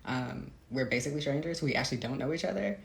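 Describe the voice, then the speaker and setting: Female interviewee, author type. Crackly vocal fry, thoughtful and searching delivery, reverberant room sound. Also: rumbling low, lively intonation, fast. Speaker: interviewed novelist. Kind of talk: reflective conversational interview. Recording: roomy, echoey sound.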